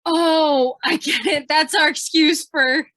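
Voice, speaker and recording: A woman's voice: high-pitched, fairly even intonation, slow pace, fairly bright tone. A woman playing a plucky, eager young news photographer in an old-time radio drama, perky in manner. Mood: eager, conspiratorial.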